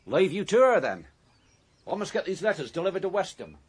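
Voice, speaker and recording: A blunt, husky postman. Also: sits high, very animated, brisk, exaggerated voice. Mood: brisk, offhand. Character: brisk, blunt country postman. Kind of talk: naturalistic radio drama acting.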